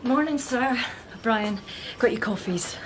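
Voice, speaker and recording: An actress playing a keen, polite young detective constable in a radio drama. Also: female voice, a little high, lively intonation, takes it slowly, slight background noise, poor audio. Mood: polite, eager.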